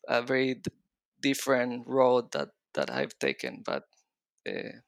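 A man's voice: medium pitch, very animated, measured pace, creaky, no echo. A middle-aged man with a mixed Israeli and Chilean Spanish accent, a guest talking casually and hesitantly with many restarts and fillers. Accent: Israeli and Chilean Spanish mix. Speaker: guest designer. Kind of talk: casual interview conversation.

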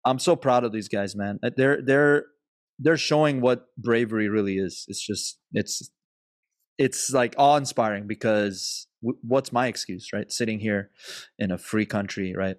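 A man hosting a casual podcast interview, talking in an animated way with lots of restarts and the odd swear word. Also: lowish voice, a little slow, no echo, studio quality. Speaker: podcast host.

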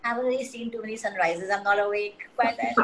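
A woman's voice: mid-range voice, fairly even intonation, brisk, background noise. A middle-aged woman, chatting casually as an interview guest. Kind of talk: casual chat.

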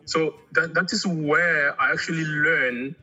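Man bright, husky-voiced guest, halting. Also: on the high side, steady tone, muffled recording. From Angola, raised in Congo. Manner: halting, repeats words often. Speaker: artist, guest. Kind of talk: casual interview talk.

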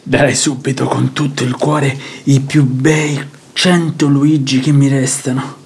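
An actor playing an indignant, duped wealthy householder in a radio drama, with an exasperated theatrical manner. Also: male voice, medium pitch, little pitch movement, measured pace, vocal fry, breathy edge, exaggerated voice. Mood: bitter outrage.

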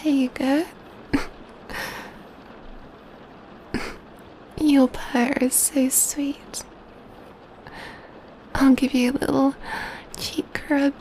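Woman acting as doting female vampire, ASMR roleplay, soft and soothing, unhurried pace, faint background noise, close mic. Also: high voice, flat delivery, rough recording. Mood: affectionate. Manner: tender, cooing, soothing, often dropping to whispers. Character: gentle doting female vampire pampering her catgirl.

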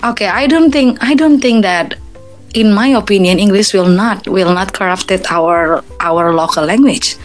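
Earnest Indonesian woman, halting English. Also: medium pitch, soft background music. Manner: earnest, many restarts and repeated words. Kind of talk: casual chat in English.

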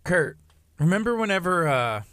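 A man's voice: high-pitched, big pitch swings, moderate pace, fairly bright tone. A man hosting a casual podcast chat, joking and teasing his co-hosts.

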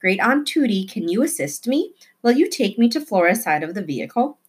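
A woman's voice: mid-range voice, very animated, a little fast, husky. A woman voicing a precocious, earnest young boy with formal, grandiose wording in a storybook reading. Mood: eager, formal.

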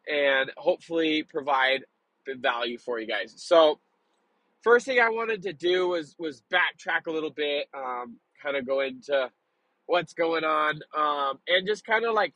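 A young man with a slightly high, mildly congested voice hosts a casual solo podcast. He talks informally and openly, feeling his way through his thoughts in a rambling manner. The sound is close and dry. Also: sharp tone, dry recording, low-quality recording. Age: young adult. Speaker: podcast host.

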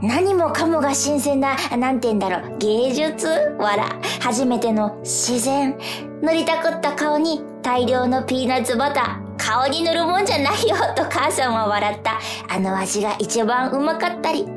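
A woman acting a dreamy, unsure young boy in a radio drama, musing and repeating phrases haltingly. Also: high-pitched, lively intonation. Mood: exuberant, musing. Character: dreamy young boy Hana, unsure and wondering about life. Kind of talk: theatrical radio drama monologue. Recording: music under the voice.

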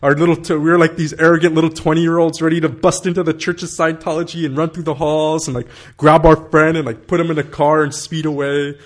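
A man's voice: on the high side, flat delivery, quick pace, few pauses. Rambling man telling a story. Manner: rambling, many fillers and swearing, laughs often. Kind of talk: casual storytelling in conversation.

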